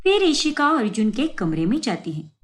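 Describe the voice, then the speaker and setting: A woman narrator with a high voice tells a scripted tale. Her intonation is very lively, rising and falling widely from phrase to phrase. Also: normal speed.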